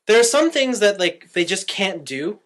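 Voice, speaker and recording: A man's voice: high-pitched, very animated, normal speed, a bit bright. A man co-hosting a podcast, chatting casually and enthusiastically with strong opinions as a fan. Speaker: podcast co-host. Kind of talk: casual fan chat about anime.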